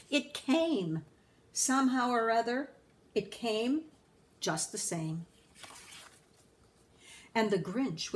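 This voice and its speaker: A woman in her sixties reading a children's storybook aloud expressively, deliberately and with emphatic pauses. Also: medium pitch. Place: living room at home.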